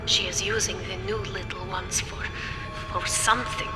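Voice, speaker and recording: An actress playing a grave, remorseful older woman scientist with a German accent, speaking solemnly and deliberately in a video game. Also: medium pitch, fairly even intonation, natural voice. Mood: grave, urgent. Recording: echoey hall reverb, music behind.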